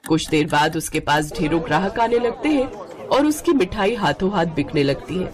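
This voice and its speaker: A woman narrating a children's moral story in an expressive scripted storytelling style. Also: lowish voice, moderate pace, a crowd in the background.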